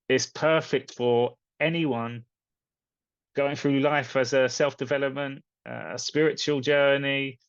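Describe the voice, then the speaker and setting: A man's voice: mid-range voice, no room sound. Male coach, casual and earnest, emphatic short phrasing; dry, slightly dull sound. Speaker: spiritual coach and video host. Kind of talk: casual book recommendation talk to viewers.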